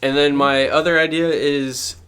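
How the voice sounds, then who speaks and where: A man's voice: on the high side, steady tone, a little slow, fairly bright tone, clear, resonant tone. A man speaking casually as a guest in an informal podcast chat. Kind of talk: casual wrestling talk.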